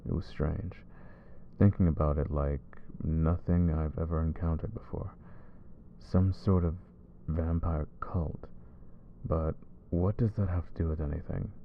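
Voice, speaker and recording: A man voicing a brooding male vampire who hunts his own kind, speaking low and measured in an ASMR roleplay. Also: steady tone, narrow, tinny audio, slight background noise. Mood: grave.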